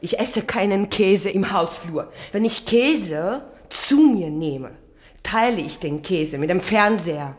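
A woman's voice: medium pitch, lively intonation, slow. A woman playing a prim, easily scandalized apartment tenant in a radio play. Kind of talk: German radio play acting.

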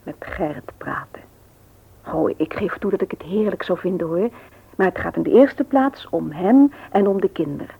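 An actress playing an earnest, gently persuasive married woman in a radio play, often hesitant and soft. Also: fairly low pitch, expressive, moderate pace, creaky, slightly rough, phone-quality sound, full character voice. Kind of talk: Dutch radio play acting.

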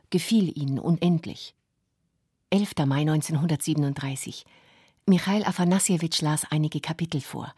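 A deep, husky voice as a writer's wife reading private diary entries. The delivery is restrained and intimate, recorded very dry for radio. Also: female voice, a little mellow, dry recording. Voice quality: husky, low.

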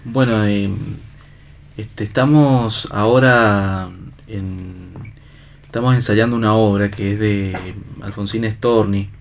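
A man with an Argentine accent talking casually as an interview guest, halting as he searches for words. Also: on the low side, fairly even intonation, slight background noise. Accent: Argentine Spanish. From La Rioja, Argentina. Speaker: theatre actor and director, interview guest.

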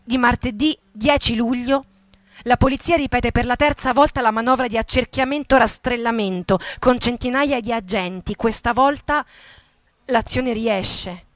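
A woman's voice: on the high side, moderate pace, no echo. A woman giving a dramatic scripted narration as an actress in radio theatre.

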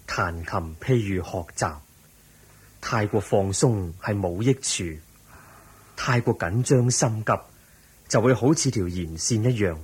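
An actor playing the Buddha as a calm, patient teacher with a measured, instructive way of speaking, in Hong Kong Cantonese radio drama style. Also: male voice, fairly low pitch, slight background noise.

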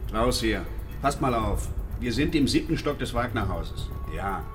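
Male actor for teasing junior cop in radio drama, informal, brisk, mid-range voice, music behind. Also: medium pitch, lively intonation, lightly acted. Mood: businesslike, teasing. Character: casual, teasing junior police officer reporting in. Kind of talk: naturalistic radio drama acting.

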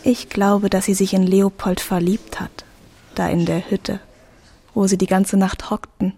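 An actress playing a probing, skeptical teenage daughter in radio drama dialogue. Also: female voice, mid-range voice, flat delivery, unhurried, mellow, slightly rough. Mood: curious, probing.

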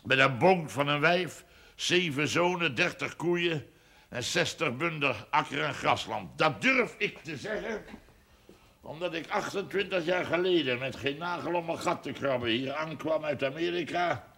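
An actor playing a boastful, middle-aged rich village farmer in a television period drama, hearty, blunt and earthy. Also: male voice, on the high side, animated, measured pace, vocal fry, bright, forceful tone, exaggerated voice. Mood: proud, boastful. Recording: old TV soundtrack with background noise.

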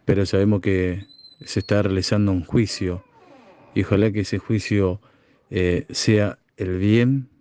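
Slow, low-voiced solemn man. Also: steady tone, mellow. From Chaco, Argentina. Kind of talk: recorded testimony.

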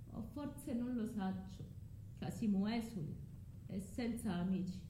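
In a roomy, echoing space, a bitter exiled wife and mother speaks in a slow, high voice. Her southern Italian accent carries sarcasm and theatrical drama, as in a radio play. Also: a little mellow. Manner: dramatic, ironic, with dialect words. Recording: roomy echo, low rumbling noise.

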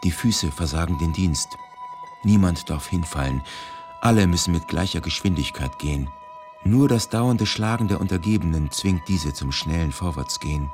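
A man reading scripted documentary narration for radio in a grave, measured, deliberate manner. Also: low-pitched. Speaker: reader of a historical eyewitness testimony. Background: music.

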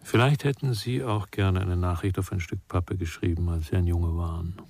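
A composed female counsellor in a radio crime drama. Her voice is very low for a woman. She speaks in a measured, questioning way that probes the listener. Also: steady tone, a little mellow, husky, full character voice.

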